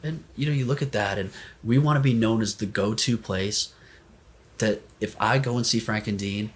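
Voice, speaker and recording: An American man talking as a financial advisor and podcast guest, animated in telling stories and often checking in with "right?". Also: fairly low pitch, normal speed. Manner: animated storyteller, tags sentences with "right?". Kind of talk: conversational podcast interview.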